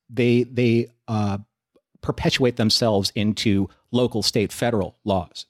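A man on a discussion podcast builds his points slowly and analytically, one clause at a time. His voice sits in a middle pitch, plain and even. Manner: measured, analytical, builds long arguments. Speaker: podcast co-host. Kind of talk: casual discussion.

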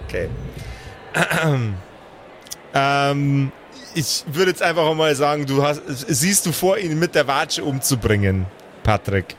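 A man's voice: fairly high pitch, expressive, takes it slowly, slight background noise. A man, speaking colloquially as the game master of an improvised tabletop game. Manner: colloquial, swears casually.

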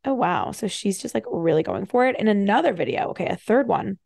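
A woman hosting a solo podcast, talking fast and casually with wry, animated asides. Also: mid-range voice, gravelly, dry recording, clean studio sound. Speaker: podcast host. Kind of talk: casual solo commentary, reading bios with asides.

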